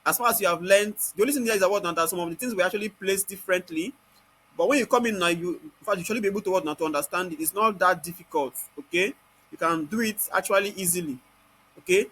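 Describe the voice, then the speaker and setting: Nigerian guy doing a screen tutorial, high sharp voice, keeps asking questions then answering them. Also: quick pace, husky. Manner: constantly asks rhetorical questions and answers them. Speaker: software tutorial instructor. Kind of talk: informal step-by-step screen tutorial.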